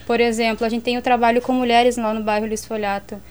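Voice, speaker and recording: Earnest woman, higher voice. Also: level tone, quick pace, a little mellow, a little hiss or hum. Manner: earnest, explanatory, many fillers. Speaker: ministry worker. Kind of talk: conversational interview answers.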